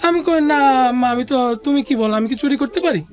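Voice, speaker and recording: High-voiced Bangladeshi woman telling her story. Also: normal speed. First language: Bengali. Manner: conversational, mixes in English words. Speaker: interview guest. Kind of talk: recounting a personal life story.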